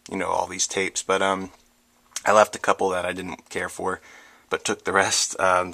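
Male vlogger, very low register with gravelly fry; unscripted show-and-tell over noticeable camera noise. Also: bright, forceful tone. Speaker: book vlogger. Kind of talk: casual chat showing a collection item by item. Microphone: handheld camera, close behind it. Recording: noisy home camera audio with handling clicks.

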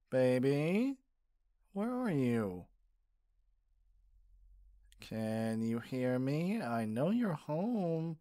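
A man acting in an ASMR roleplay as a gentle, doting caregiver, speaking softly in a soothing, coaxing manner. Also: medium pitch, no echo, studio quality. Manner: soft, soothing, playful coaxing. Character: gentle, doting male caregiver comforting a partner.

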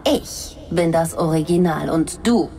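A woman's voice: low voice, measured pace, dark tone, big-room sound. An actress playing a mocking blonde woman who claims to be the original, speaking in a taunting, condescending way. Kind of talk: audio drama acting.

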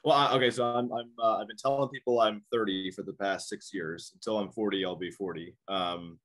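A man's voice: fairly low pitch, fairly even intonation, a little fast, a bit bright. A man in his thirties, a guest on a video call, talking casually in a rambling way with many restarts. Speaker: podcast guest. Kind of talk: casual interview chat. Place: small indoor room.

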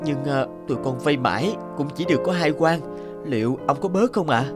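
A man's voice: a little high, expressive, a little fast, music in the background, lightly acted. A man as a humble young peasant husband. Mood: hesitant, polite. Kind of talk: Vietnamese animated folk tale dialogue.

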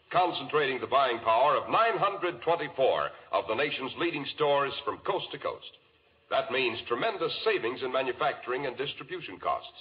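American man, 1940s radio announcer, scripted pitch, animated intonation, faint hiss. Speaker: announcer and host. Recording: muffled, hissy 1940s radio recording.

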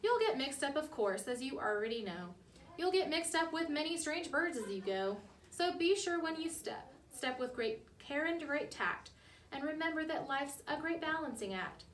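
High-voiced woman reading aloud, roomy sound. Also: sing-song, moderate pace, fairly bright tone. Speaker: school librarian reading aloud. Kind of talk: children's picture book read-aloud. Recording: roomy, distant mic, background noise.

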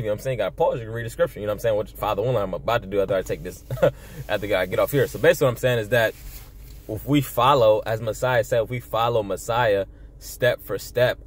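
A young man talking earnestly to camera in an informal preaching style, repeating himself and often saying "you know", recorded on a phone in a car. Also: fairly low pitch. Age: young adult. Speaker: religious video blogger. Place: inside a parked car at night.

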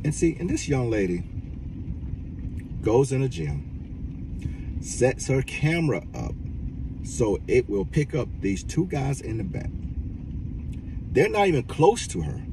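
A middle-aged man talks into a phone held at arm's length inside a car. His voice swings up and down a lot as he presses his points with blunt, profane exasperation. Car rumble and street noise sit clearly behind him, and the phone picks him up from a little way off. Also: huge pitch swings, slow pace, pauses often, distant mic. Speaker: reaction vlogger. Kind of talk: casual commentary rant. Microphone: phone camera at arm's length.

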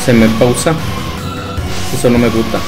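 A man's voice: medium pitch, level tone, moderate pace. A young Mexican man with a Mexican Spanish accent, chatting casually with viewers during a livestream, laughing often and calling them brother. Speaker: game streamer. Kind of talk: casual livestream chat reading viewer comments. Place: indoor room at home. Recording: game music loud behind voice.

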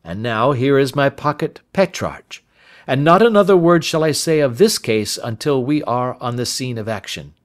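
A man voicing a sharp, confident Victorian detective in an audiobook, speaking in an assured, articulate and emphatic way. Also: mid-range voice, animated, normal speed, pitched above the performer's own voice, natural voice.

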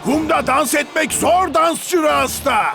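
A loud cartoon football commentator, pitched extremely high with a gravelly edge. He calls the match excitedly and with mockery. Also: takes it slowly, over music, full character voice. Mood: excited, mocking. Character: excitable male sports commentator, mocking and loud.

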